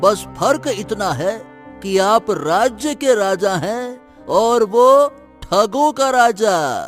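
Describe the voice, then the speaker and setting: A man voicing an excitable merchant at a cartoon royal court, emphatic and theatrical, with music behind. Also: high voice, slow. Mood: wry. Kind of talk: animated story character acting.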